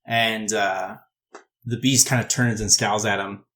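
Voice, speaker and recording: A man's voice: on the low side, fairly even intonation, unhurried, bright, forceful tone, slight background noise. A man improvising descriptive narration as game master of a tabletop game.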